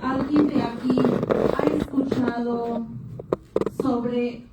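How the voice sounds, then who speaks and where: A woman speaking at a panel event, talking in short bursts with hesitations and pausing for her interpreter. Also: on the high side, steady tone. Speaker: panel speaker for an immigrant rights group. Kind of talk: public talk in Spanish, paused for interpretation. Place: university hall event. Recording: echoey hall, distant mic, faint noise.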